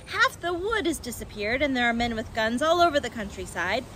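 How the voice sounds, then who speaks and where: A woman voicing an excitable, desperate male badger father in a children's story, with an agitated, pleading delivery. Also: a little high, moderate pace, slight background noise. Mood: excited, alarmed.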